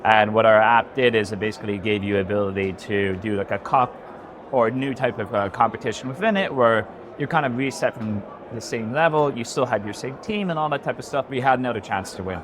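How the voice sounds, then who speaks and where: A man's voice: on the low side, brisk, a crowd in the background. A young man with an Irish accent talking casually as a startup founder being interviewed. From born in America, grew up in Ireland. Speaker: podcast guest, startup founder. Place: indoor event venue, recorded in person.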